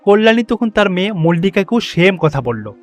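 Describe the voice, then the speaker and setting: A man's voice: sits high, big pitch swings, normal speed, soft background music. A man narrating a moral tale as a scripted storyteller.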